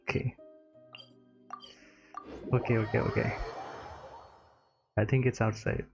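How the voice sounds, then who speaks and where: Very slow-talking male game streamer. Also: medium pitch, level tone, gravelly, highs cut off, over music. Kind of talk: casual game reaction commentary.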